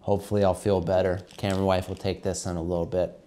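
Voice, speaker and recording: A middle-aged man talks in a low, stuffed-up voice while he has a cold. He explains things to learners in a loose, chatty way and keeps calling his viewers guys. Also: flat delivery, quick pace, dark tone. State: congested with a cold. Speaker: English teacher vlogger. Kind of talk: casual explanatory vlog to learners.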